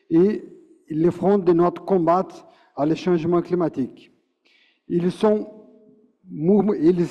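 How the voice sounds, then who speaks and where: A man from Brazil, speaking with a Brazilian Portuguese accent, gives a formal prepared speech at a conference, with a declamatory delivery and frequent self-corrections. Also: high voice. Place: large conference hall. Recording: muffled conference hall reverb.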